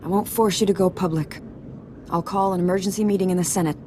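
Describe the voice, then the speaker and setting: Actress as a proud, earnest senator. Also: female voice, on the low side, steady tone, fast, close to an everyday voice. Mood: resigned. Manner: earnest, formal. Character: proud, self-righteous female senator defending her crimes. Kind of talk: video game voice acting. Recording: clearly noisy background ambience.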